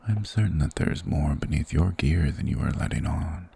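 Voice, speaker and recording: A man voicing a sinister, soothing forest spirit in a fantasy ASMR roleplay, speaking in a hushed, formal, hypnotic and coaxing manner. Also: a bass voice, normal speed, full character voice. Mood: soothing, persuasive. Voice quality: gravelly, breathy whisper.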